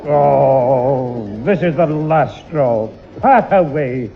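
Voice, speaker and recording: A man's voice: mid-range voice, drawn-out words, over music, crowd noise. A man playing a grumpy, exasperated old grandfather with a Northern English accent in a 1960s radio sitcom. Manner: blustering, irritable, exclamatory. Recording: dull 1960s radio recording.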